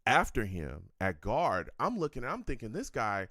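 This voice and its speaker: A man hosting a casual sports talk show, lively and prone to repeating words as he starts his thoughts. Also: medium pitch, normal speed, no room sound, studio quality. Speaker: podcast host.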